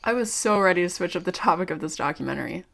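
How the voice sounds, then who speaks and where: An actress playing a young, curious documentary maker who is polite, wry and playful, in an audio drama. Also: female voice, lowish voice, lively intonation, quick pace, creaky, a bit bright. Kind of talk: audio drama acting with narration.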